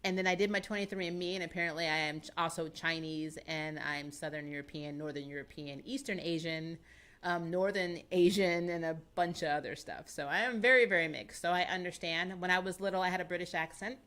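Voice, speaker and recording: American woman, thirties, live-stream host; chatty, laughs a lot, a touch low-pitched, close boom mic in a small home studio. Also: fairly quick. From United States. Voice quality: chatty and animated, laughs often, thinks aloud with fillers. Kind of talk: casual chat. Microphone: boom-arm studio microphone close to the mouth.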